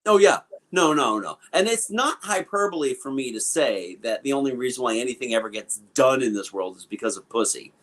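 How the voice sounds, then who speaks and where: A man's voice: medium pitch, animated, a little fast, few pauses, fairly bright tone. A man co-hosting a podcast, chatting casually about a film and riffing with plenty of fillers and opinions. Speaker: podcast co-host. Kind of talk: casual film review chat.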